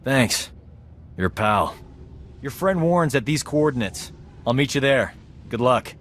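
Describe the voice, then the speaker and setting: A man's voice: medium pitch, expressive, slow, fairly bright tone, slight background noise. An actor playing a wry, easygoing male companion in a game cutscene. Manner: wry, joking. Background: game music.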